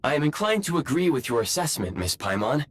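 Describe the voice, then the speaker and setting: Formal, logical robotic guide, lilting delivery. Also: male voice, fairly high pitch, very animated, fairly quick, slightly rough, no room sound. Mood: polite, composed. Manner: formal, precise, courteous. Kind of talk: video game cutscene acting. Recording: prominent music behind.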